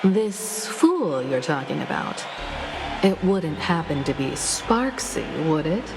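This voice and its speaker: A curious, cool-headed young woman traveler from a video game. She asks her questions slowly and calmly, with a lively rise and fall. Game music sits behind her voice. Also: deep voice, full character voice, low-quality recording.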